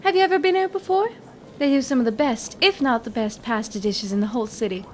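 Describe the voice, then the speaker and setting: A woman's voice: on the high side, fast, slight background noise, lightly acted. A woman playing a sweet, happy dwarf in an audio drama, chatty, friendly and polite.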